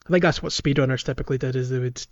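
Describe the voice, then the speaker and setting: A man's voice: mid-range voice, steady tone, racing. A British man co-hosting a podcast, chatting casually about games in a loose, rambling way. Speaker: podcast host. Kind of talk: casual game discussion and chat. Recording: slightly dull, lo-fi audio.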